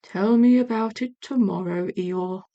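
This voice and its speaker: A woman voicing a gentle, slow-witted male bear in a storybook, mild, puzzled and polite. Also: medium pitch, dull audio, close to an everyday voice. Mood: sleepy. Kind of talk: storybook character voice.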